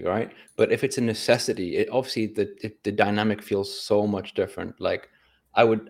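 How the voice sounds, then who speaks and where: Man, low voice, casual podcast chat, easygoing, reflective. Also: a little mellow. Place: indoors at home.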